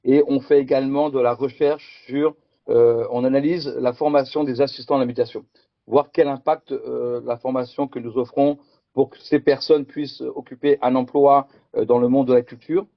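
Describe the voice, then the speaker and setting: A man's voice: medium pitch, fairly even intonation, moderate pace, gravelly, no echo, muffled recording. A middle-aged man from France giving a conference talk with slides, speaking hesitantly and repeating words. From France, Picardy. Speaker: conference presenter.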